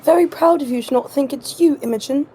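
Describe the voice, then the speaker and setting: High, mellow-voiced woman in role-play banter. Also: fast talker, a little hiss or hum. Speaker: tabletop game player.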